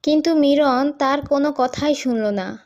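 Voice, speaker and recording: A woman with a high voice narrates a folk tale for a cartoon. Her delivery is scripted storytelling. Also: unhurried, a little mellow, lo-fi sound.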